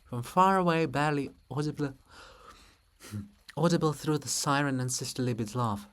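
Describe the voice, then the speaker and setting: A man reading stage directions as a narrator, in a deliberate, measured dramatic style. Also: fairly high pitch. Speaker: narrator reading a play aloud.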